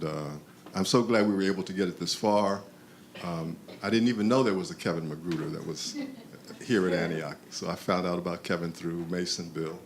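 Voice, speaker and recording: An older man near retirement, reminiscing on an alumni panel in a relaxed, rambling way. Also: sits low, a little hiss or hum.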